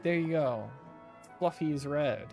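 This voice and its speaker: A man's voice: fairly high pitch, measured pace, a little mellow. A man doing casual, joking video game commentary, teasing the characters as he plays. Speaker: streamer playing a video game. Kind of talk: casual game commentary, reading dialogue aloud. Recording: faint game music behind. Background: game music.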